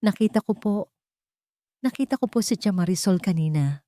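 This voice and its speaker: A woman's voice: medium pitch, lively intonation, moderate pace, dark tone, no echo, clean studio sound, natural voice. A woman storyteller voicing an innocent little girl who speaks in an earnest, simple way.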